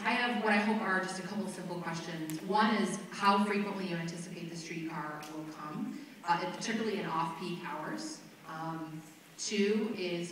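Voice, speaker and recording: A woman's voice: lowish voice, fairly bright tone. A woman speaking informally as a resident asking questions at a public meeting. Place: large indoor public meeting room. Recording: very echoey hall, distant mic, clear noise.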